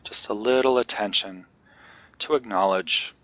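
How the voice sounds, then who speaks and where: Male meditation guide: lowish, crisp-edged bright voice, gentle and unhurried, leaving long pauses. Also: no room sound, rough recording.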